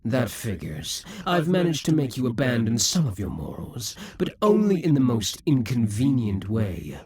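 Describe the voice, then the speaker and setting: A man's voice: medium pitch, huge pitch swings, normal speed, darkish tone, breathy edge, exaggerated voice. A man voicing a possessive, scheming male demon king in a theatrical monologue for an audio roleplay. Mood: exasperated. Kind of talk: audio roleplay addressing the listener.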